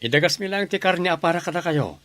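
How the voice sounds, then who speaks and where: A man's voice: high-pitched, expressive, fast. A man playing a young husband in a comedy radio drama, speaking earnestly. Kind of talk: Ilocano radio drama acting. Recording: loud music bed behind.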